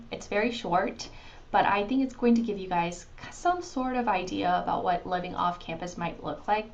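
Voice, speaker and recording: A woman presenting to new international students in a casual, conversational way, pausing with ums and restarting her sentences. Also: mid-range voice, darkish tone, dull audio, a little hiss or hum.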